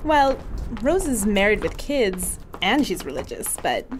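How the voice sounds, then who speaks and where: A woman's voice: medium pitch, sing-song, a little slow, slight background noise. A woman playing a chatty, teasing female crewmate who laughs easily. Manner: bubbly, teasing, laughs often. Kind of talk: audio drama acting.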